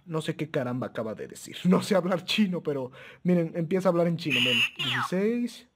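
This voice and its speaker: Husky, slightly high-voiced man demoing gear. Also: big pitch swings, brisk. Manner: hesitant, frequent restarts and "eh" fillers, addresses viewers as friends; chuckles often while talking. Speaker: radio gear reviewer. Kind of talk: casual product demo.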